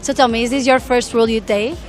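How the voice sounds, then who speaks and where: A woman's voice: fairly high pitch, steady tone, a little fast, soft background music. A woman interviewing young pilgrims, asking friendly, encouraging questions. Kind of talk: street interview.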